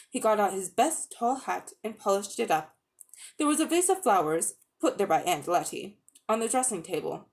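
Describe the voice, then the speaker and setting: A woman narrating a children's novel as a dramatic, expressive audiobook reading. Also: mid-range voice. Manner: expressive, storytelling delivery. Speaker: audiobook reader.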